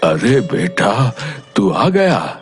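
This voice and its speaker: A man voicing a gentle, ailing old village grandfather in a cartoon story, with halting speech and a cough. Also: on the low side, big pitch swings, faint music behind, heavily acted. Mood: tender, weary. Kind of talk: Hindi cartoon story dialogue.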